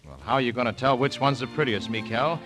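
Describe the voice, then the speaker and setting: An actor playing a teasing, brisk young romantic lead in a 1940s radio operetta. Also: male voice, mid-range voice, a bit bright, faint music behind, natural voice. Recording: old 1940s radio broadcast recording.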